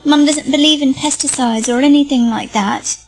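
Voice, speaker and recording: A woman's voice: high voice, normal speed, soft background music, low-quality recording. A woman playing a frightened, earnest young girl in an audio drama.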